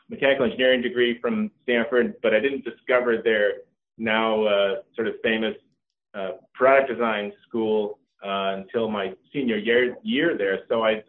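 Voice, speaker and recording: A middle-aged man, a company co-founder, talking casually in an interview with a relaxed manner and many ums and restarts. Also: fairly low pitch. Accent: Californian. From Norway. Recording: tinny phone-like line.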